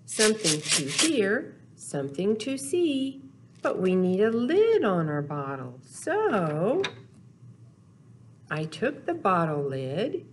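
An older woman in her sixties hosting a storytime for young children, speaking in a deliberate, expressive, simple sing-song teaching way. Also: mid-range voice. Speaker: children's storytime host at a library. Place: small indoor room, in front of backdrop. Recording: noticeable background noise, clicks of props.

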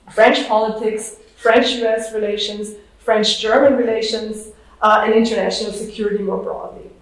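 A woman hosting a lecture event, giving a formal introduction of the guest speaker. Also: a little high. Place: lecture hall. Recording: echoey hall, distant mic.

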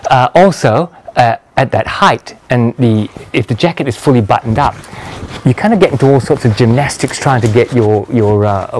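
A man in his sixties giving a casual product review to camera in a measured, understated, conversational way. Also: mid-range voice, a little mellow. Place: small walled garden patio, outdoors. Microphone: lapel mic.

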